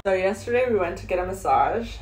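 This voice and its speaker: A young adult woman chatting casually to camera in a rambling, halting way, often trailing off. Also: mid-range voice, lively intonation. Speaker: vlogger. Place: various spots on the go in Bali. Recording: noisy ambient phone-style vlog recording.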